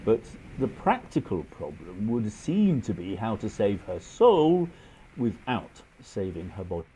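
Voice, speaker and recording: A man acting a worldly medieval English aristocrat in a radio play. His urbane, sardonic lines rise and fall with extremely animated intonation. He stays polite and cynical in a theatrical style. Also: fairly low pitch, a little slow, slight background noise, low-quality recording. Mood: diplomatic.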